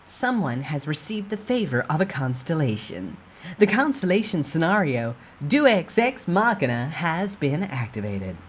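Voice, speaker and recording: A man voicing a formal, impersonal game-system announcer in a storybook reading, measured and declarative. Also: a little high, sing-song, a little hiss or hum, pitched above the performer's own voice. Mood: formal, announcing.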